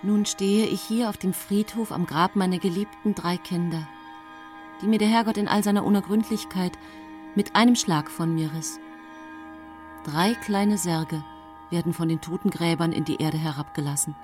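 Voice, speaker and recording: An actress playing a bereaved, secretly calculating mother, telling her story in measured, solemn radio drama narration. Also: on the low side, over music, natural voice.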